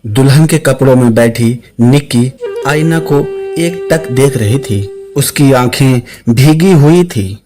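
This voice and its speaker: A man's voice: mid-range voice, slow. A man narrating a scripted suspense story, with music playing behind his voice. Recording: clear music under the voice.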